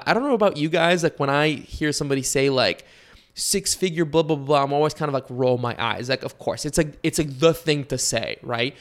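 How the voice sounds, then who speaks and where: A young man from Bulgaria hosting a solo podcast and talking to his listeners in a relaxed, conversational way. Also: a little high. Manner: conversational, with fillers and asides to listeners. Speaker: podcast host. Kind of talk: casual solo podcast monologue.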